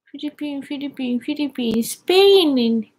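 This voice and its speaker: A woman's voice: sits high, lively intonation, rapid-fire, darkish tone. A young Japanese woman in her twenties with a Japanese accent, chatting on a live stream from a small room and cheerfully reacting to viewer comments. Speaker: YouTuber. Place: small indoor room with wooden cabinets.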